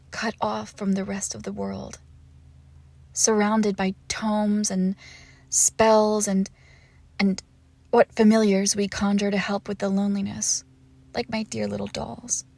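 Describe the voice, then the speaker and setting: A woman playing a lovesick, possessive and lonely witch in an intimate ASMR roleplay, speaking softly and breathily and often slipping into tender whispers. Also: medium pitch, gravelly. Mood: wistful, lonely.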